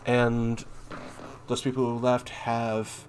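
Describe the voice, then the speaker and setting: A man doing casual commentary as he reads game notes aloud, halting and thinking out loud, with a blunt streak. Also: mid-range voice, completely flat delivery, a little hiss or hum. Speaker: gaming content creator and streamer.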